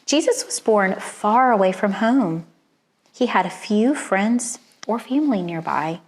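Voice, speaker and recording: A woman narrates a children's story in a voice of middling pitch. Her read-aloud style is expressive and deliberate, using dramatic pauses and hushed emphasis to shape the telling.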